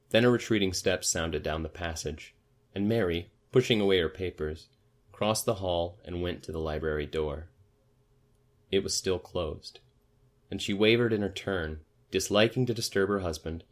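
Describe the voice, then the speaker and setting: A man with a low-pitched voice reads literary fiction aloud. He delivers it as scripted audiobook narration, in the role of storyteller.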